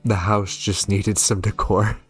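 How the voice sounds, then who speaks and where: A man voicing a weary witch mother in a game, measured and dry, coolly indulgent with faint exasperation. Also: lowish voice, little pitch movement, soft background music, natural voice. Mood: resigned.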